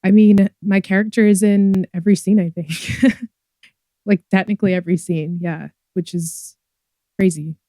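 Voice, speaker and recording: A woman's voice: mid-range voice, little pitch movement, normal speed, warm tone, no room sound, studio quality. A young woman from Scotland with a mixed Scottish and Canadian accent, chatting as an actress guest, giggly and full of likes and ums. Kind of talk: casual interview chat.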